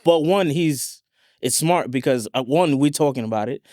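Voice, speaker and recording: A man's voice: mid-range voice, moderate pace, a little mellow, no room sound, clean studio sound. A man hosting a casual podcast chat, putting questions to the others and reacting. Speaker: podcast host.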